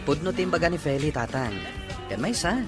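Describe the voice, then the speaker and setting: Expressive young Ilocano groom, acted by a man. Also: a little high, a little fast, close to an everyday voice. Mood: respectful. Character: young Ilocano groom, respectful, caring, easygoing. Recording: music bed under the voice.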